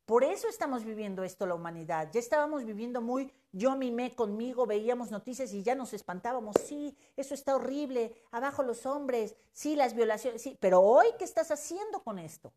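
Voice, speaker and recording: A woman's voice: on the high side, animated, a little fast, hardly any pauses, dark tone. A Mexican woman in her fifties giving an emphatic, preachy motivational talk on social media, with casual swearing. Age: 50s.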